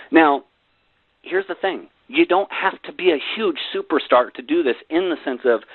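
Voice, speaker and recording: A man giving an emphatic motivational sales pitch, repeating phrases for effect and tossing in folksy rhetorical questions. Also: dry recording. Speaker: network marketing presenter on a company conference call. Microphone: telephone. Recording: tinny, phone-like conference call line.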